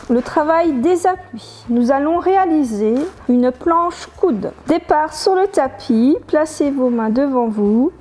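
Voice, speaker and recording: A woman in her thirties, a gym coach, giving clear step-by-step exercise instructions in a living room. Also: sits high, mellow.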